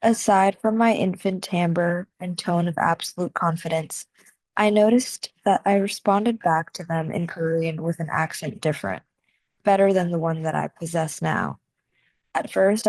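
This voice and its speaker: A young American woman reading her own reflective video essay in a measured, soft-spoken way, with deliberate pauses. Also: lowish voice, expressive, no echo, poor audio. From United States. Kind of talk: scripted reflective narration.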